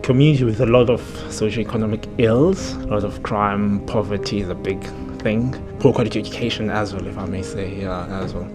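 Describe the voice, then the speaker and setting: A man's voice: fairly low pitch, brisk, hardly any pauses, music in the background. A young man from South Africa, a recent medical graduate, speaking earnestly and candidly about growing up. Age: young adult. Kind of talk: personal narrative interview.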